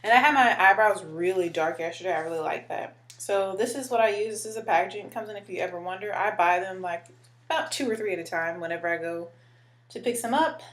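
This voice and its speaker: A woman in her twenties with a deeper voice, chatting casually while doing her makeup and using lots of filler words. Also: fast talker. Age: 20s. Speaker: beauty vlogger. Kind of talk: casual makeup tutorial chat.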